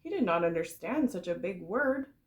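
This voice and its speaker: A woman reading a storybook aloud for young children, in the expressive, explanatory way of a kindergarten teacher. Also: fairly low pitch, moderate pace.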